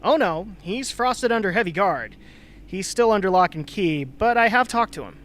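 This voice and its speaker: An actress playing a calm, matter-of-fact confidant and minder in an amateur radio play. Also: female voice, medium pitch, normal speed, lightly acted. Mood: reassuring. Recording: noisy amateur recording.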